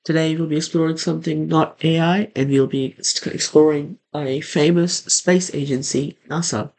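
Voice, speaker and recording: Higher-pitched man with a creaky edge. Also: steady tone, normal speed, darkish tone. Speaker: host of a tech channel. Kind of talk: scripted screen-tour narration.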